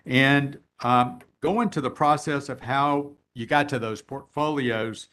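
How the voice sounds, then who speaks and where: Loud man asking hesitant questions. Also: mid-range voice, slow pace. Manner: hesitant, many fillers, loud voice. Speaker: intervener attorney cross-examining. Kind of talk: hearing cross-examination.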